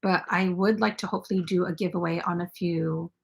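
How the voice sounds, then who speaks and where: A woman's voice: on the low side, fairly even intonation, a little fast, creaky, highs cut off. A woman hosting a crochet live stream from her bedroom, chatting casually and rambling with fillers into a camera on a tripod. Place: bedroom at home.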